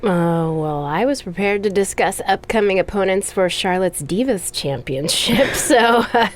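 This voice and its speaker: A woman's voice: mid-range voice, lively intonation, measured pace, close to an everyday voice. A woman playing a chatty, self-important young wrestling podcast host in a video game cutscene. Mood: defensive, chatty. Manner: chatty, presenterly.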